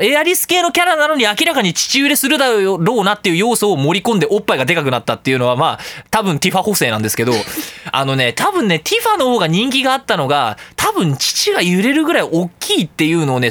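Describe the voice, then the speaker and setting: A man's voice: high-pitched, bright, forceful tone, dry recording. A man hosting a casual radio chat, rambling with geeky enthusiasm and throwing in vocal sound effects. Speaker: radio talk show host.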